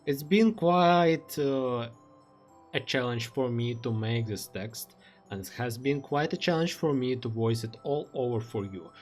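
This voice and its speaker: A young man with a Russian accent reads a prepared monologue in careful English, pausing often on "uh" as he searches for words. His voice sits at a middle pitch. Faint music plays beneath him. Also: animated, a little mellow. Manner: careful, halting delivery with frequent uh fillers. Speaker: streamer. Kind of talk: reflective read-aloud monologue.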